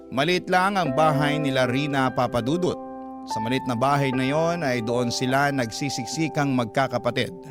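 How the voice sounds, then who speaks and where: Filipino man narrating over prominent music. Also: medium pitch. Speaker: radio storytelling narrator. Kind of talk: scripted emotional first-person narration. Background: prominent background music.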